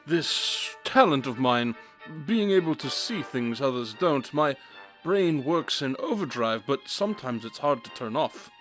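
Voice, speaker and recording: A brooding, deliberate detective. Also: male voice, mid-range voice, lively intonation, a bit bright, soft background music. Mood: troubled, brooding. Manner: deliberate, analytical, slightly formal. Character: brooding, brilliant detective, intense and self-absorbed. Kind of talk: audio drama dialogue.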